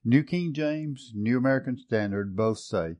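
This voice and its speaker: A man leads a Bible study in a warm, mellow voice at an ordinary pitch. He is earnest and reflective, weighing one scripture translation against another. He often restarts a phrase, repeating a word or two as he reaches for it. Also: moderate pace. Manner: repeats words while finding the phrase. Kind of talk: teaching a Bible study session.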